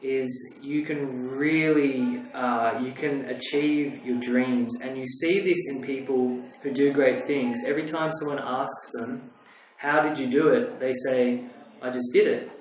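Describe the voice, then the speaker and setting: A man's voice: mid-range voice, fairly even intonation, measured pace. Earnest man in a very echoey room. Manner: thoughtful, earnest, many ums and restarts. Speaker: interviewee, couchsurfing traveler. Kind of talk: reflective casual interview. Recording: very echoey room, faint background noise.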